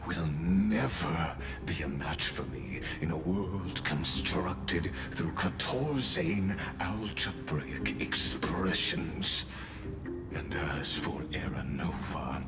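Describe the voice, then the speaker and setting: Slow, deep-voiced calculating villain. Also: male voice. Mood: smug, calm. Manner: slow, deliberate, formal, condescending. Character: cold calculating male villain, scholarly schemer, menacingly polite. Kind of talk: theatrical game dialogue.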